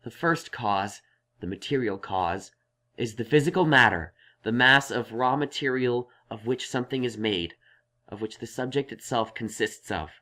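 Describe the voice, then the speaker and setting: A man voicing a studious, earnest male pony scholar in a story, reciting in a measured way. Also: mid-range voice, bright, forceful tone, lightly acted. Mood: studious, absorbed. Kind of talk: dramatized fan fiction audiobook.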